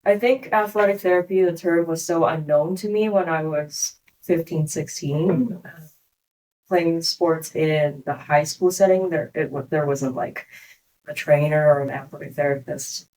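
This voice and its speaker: Unhurried woman from Hong Kong. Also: deep voice, steady tone, a little mellow, poor audio. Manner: reflective, unhurried, laughs often. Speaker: clinic co-founder, guest. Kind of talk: podcast interview.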